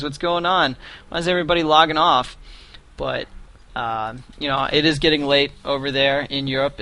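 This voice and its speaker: A man in his twenties with a fairly high voice, casting a live game tournament in chatty, joking banter. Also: pushed and bright. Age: 20s. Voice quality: higher voice. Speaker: esports caster.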